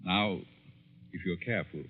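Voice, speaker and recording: An actor playing a scheming, menacing professor in an old-time radio drama, speaking in a measured, gloating manner. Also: male voice, deep voice, in a large hall, natural voice. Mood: cool, persuasive. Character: scheming, sinister male professor plotting murder. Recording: dull, band-limited vintage radio recording.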